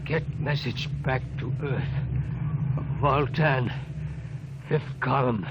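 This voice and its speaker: A gravelly dying doctor, halting and gasping, over a hissy old radio broadcast. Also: male voice, medium pitch. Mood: dying, urgent. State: gasping, weak. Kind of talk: 1950s radio drama acting.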